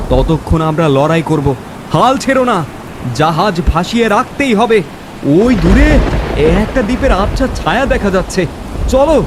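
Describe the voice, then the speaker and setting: A man's voice: high-pitched, noisy background. A man playing a brave, kind and commanding king in a fairy-tale cartoon. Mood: rallying, urgent.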